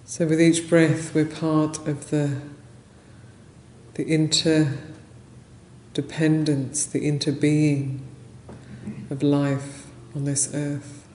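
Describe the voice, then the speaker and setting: A woman leading a guided meditation as a teacher, speaking gently and unhurriedly in short phrases with long pauses. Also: sits low, flat delivery. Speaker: meditation teacher, Buddhist nun. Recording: roomy echo, faint background noise.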